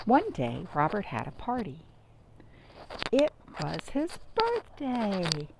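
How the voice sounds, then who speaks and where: Woman, storytime reader, slow pace, very varied pitch, simple questions to little kids. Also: huge pitch swings, gravelly, noisy background. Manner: addresses young children with simple questions. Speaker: library storytime host and reader. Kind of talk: children's storytime, chatting and reading aloud. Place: at home, in a cottage.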